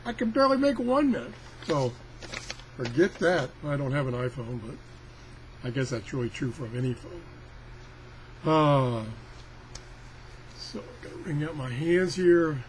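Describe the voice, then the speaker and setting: A man's voice: fairly high pitch, sing-song, measured pace, pauses often. An older man casually demonstrating a product in a rambling, folksy, self-deprecating, grumbling way. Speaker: product reviewer. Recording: clearly noisy amateur recording.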